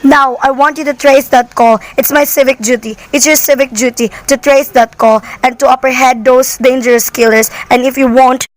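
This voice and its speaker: A woman's voice: high-pitched, fairly even intonation, moderate pace, hardly any pauses, close to an everyday voice, low-quality recording. A woman playing an anxious, demanding, sickly wife in an amateur radio play, speaking in an agitated, imperious way. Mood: impatient, demanding.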